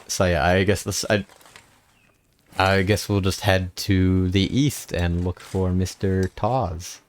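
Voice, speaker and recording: A man playing in a tabletop game, talking in a laid-back, drawn-out way. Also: sits low, fairly even intonation. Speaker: player. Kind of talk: casual tabletop game chat.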